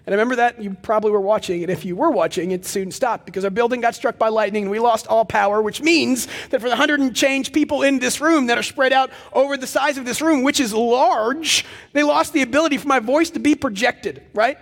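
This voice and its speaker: A young man preaching a sermon as pastor of a church, with a loud, projecting voice and animated storytelling asides. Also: extremely high pitch, brisk, hardly any pauses, in a large hall. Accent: Texan. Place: large church hall with live audience.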